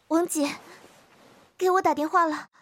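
A woman acting a polite, wistful young office worker in an audio drama, soft-spoken and hesitant. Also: very high-pitched, full character voice.

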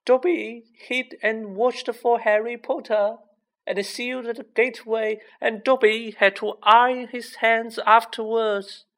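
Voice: medium pitch, little pitch movement, slow pace, a bit bright, voice pushed up, rough recording. A storybook reader voicing a small, miserable, servile male house elf who pleads and refers to himself in the third person. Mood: eager, confessing.

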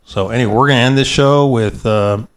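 A man's voice: mid-range voice, fairly quick. A man co-hosting a podcast in casual after-show banter, hesitant, meandering and jokey in his delivery. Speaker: podcast co-host.

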